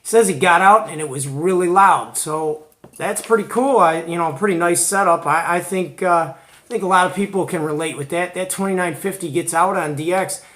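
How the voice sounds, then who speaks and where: A man's voice: high voice, normal speed, fairly bright tone. A man from Georgia hosting a hobby show and tell, chatting casually and informally with plenty of slang. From Georgia, United States. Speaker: host of a CB radio hobby show.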